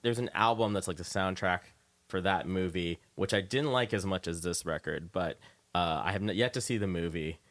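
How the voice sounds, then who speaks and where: A man's voice: low voice, moderate pace, a bit bright. A man hosting a casual interview show on the radio, chatting informally from a studio.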